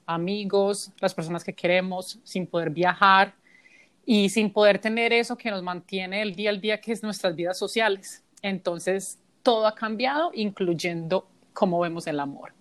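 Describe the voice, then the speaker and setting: A woman's voice: medium pitch, moderate pace, a bit bright, no room sound. A woman in her thirties with a Colombian accent, co-hosting a podcast in a chatty, informal, conversational way. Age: 30s. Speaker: podcast co-host. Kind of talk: casual conversational podcast intro.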